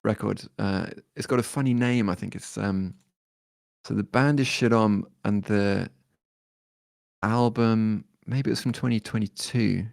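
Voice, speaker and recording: A man, talking as a guest musician in a relaxed interview, thoughtful and hesitant with many fillers and restarts. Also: on the low side, measured pace, creaky, dry recording, clean studio sound. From born in Detroit, raised in London.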